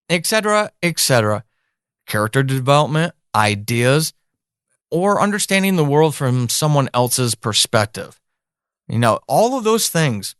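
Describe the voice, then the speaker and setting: An American man speaks in a slightly high voice with very little room sound. He talks like a podcast host thinking aloud to listeners, explaining emphatically and stretching key words for stress. The delivery is conversational and repeats points to drive them home. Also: fairly bright tone, dry recording, clean studio sound. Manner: emphatic, explanatory, with deliberate drawn-out stresses and repetitions. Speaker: writer and leadership teacher. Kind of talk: solo conversational podcast monologue.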